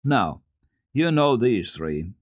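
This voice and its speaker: A man voicing an earnest, kindly little girl who coaxes and recites in a measured sing-song for a storybook. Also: mid-range voice, very animated, no echo. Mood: patient, insistent.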